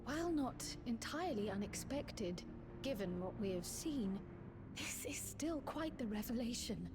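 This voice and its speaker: Earnest higher-voiced young woman adventurer. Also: lively intonation, a little slow, music in the background. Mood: sober. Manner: formal, careful phrasing. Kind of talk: video game voice acting.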